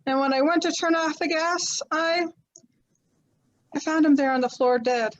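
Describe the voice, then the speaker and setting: A woman's voice: high voice, moderate pace, highs cut off, natural voice. A woman playing a flustered widow in a 1940s-style radio mystery, talking in a breathless, stammering way. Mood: shaken. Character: flustered middle-aged widow, woman, quick to defend herself.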